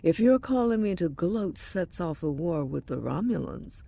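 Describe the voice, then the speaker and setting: A woman playing a weary, resolute veteran starship captain in an audio drama, measured, dry and commanding. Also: on the low side, sing-song, gravelly, dark tone, dry recording, heavily acted. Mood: dry, defiant. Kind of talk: science fiction audio drama acting.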